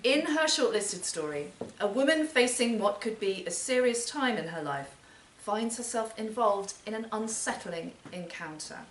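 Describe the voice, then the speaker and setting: A woman's voice: mid-range voice, expressive, moderate pace, hardly any pauses. Roomy, distant-miked woman introducing a reader. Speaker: event host introducing readers. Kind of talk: prepared spoken introduction at a live literary event. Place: performance space in a library centre. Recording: roomy, distant mic, background noise.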